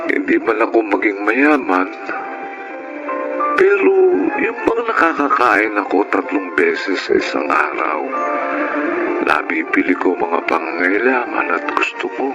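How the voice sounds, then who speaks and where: A man's voice: deep voice, huge pitch swings, a little slow, over loud music, heavily acted, rough recording. A man playing a mild, earnest and hesitant young writer in a Filipino radio drama. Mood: wistful, hopeful.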